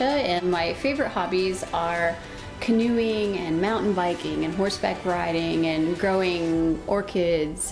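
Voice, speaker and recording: Low-pitched woman chatting over music. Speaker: player in a tabletop game show. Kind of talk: casual self-introduction.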